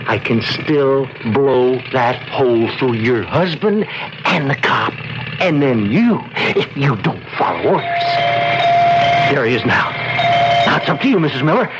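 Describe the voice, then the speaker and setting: An actor playing a ruthless, taunting killer in a radio drama, with street-tough, slangy diction. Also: male voice, medium pitch. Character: ruthless, taunting gunman killer holding a couple hostage. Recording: muffled, noisy old radio drama recording.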